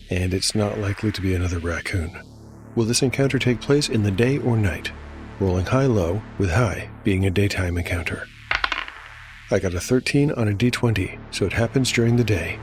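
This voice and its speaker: A man narrating a scripted fantasy tale as the storyteller and game master. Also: on the low side. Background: music bed.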